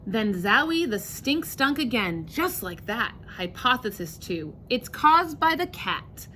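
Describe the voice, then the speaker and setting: Bright-voiced woman reading a children's storybook. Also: on the high side, big pitch swings, slow, slight background noise. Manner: emphatic, rhythmic delivery of rhyming verse. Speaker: reading specialist reading a picture book aloud. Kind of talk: expressive children's storybook read-aloud.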